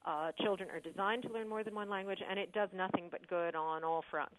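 A woman's voice: medium pitch, fairly even intonation, brisk. A woman scientist answering callers on a radio call-in show, earnest, with many fillers and restarts. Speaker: scientist guest. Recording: tinny phone-like radio line.

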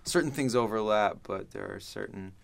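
Gravelly-voiced hesitant male guest. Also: lowish voice, moderate pace. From Maine. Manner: hesitant, laughs easily. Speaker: musician guest.

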